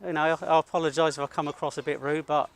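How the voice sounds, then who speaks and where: A man's voice: fairly high pitch, fairly even intonation, very fast, gravelly. A British man talking bluntly and self-assuredly as he narrates to his camera outdoors and chats casually, working as an independent videographer. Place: outdoors at a college car park.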